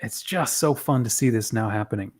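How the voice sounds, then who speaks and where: A man's voice: medium pitch, brisk, darkish tone. A young adult man speaks as a show host in a casual interview. His phrasing is upbeat and informal, leaning on stretched words for emphasis. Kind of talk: casual interview and community promotion.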